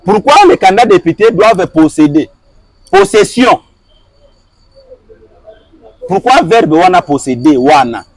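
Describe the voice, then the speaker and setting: A man's voice: high voice, lively intonation, moderate pace, no echo, low-quality recording. A man answering an interviewer, arguing his point with repeated rhetorical questions and switching between French and Lingala. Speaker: guest.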